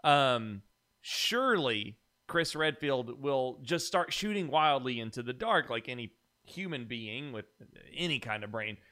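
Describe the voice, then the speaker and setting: A man's voice: medium pitch, expressive, unhurried, bright, forceful tone. A man chatting casually on a podcast panel, critiquing films with a sarcastic manner. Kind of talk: casual film criticism chat.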